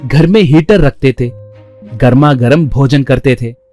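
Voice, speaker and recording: A man's voice: mid-range voice, a little slow, mellow, soft background music. A man narrating a scripted children's story.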